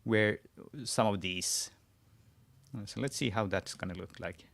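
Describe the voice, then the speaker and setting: Creaky-voiced Swedish man, tutorial host. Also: fairly low pitch, a little slow, fairly bright tone. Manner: thinking aloud while typing, frequent restarts. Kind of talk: live screen-share tutorial.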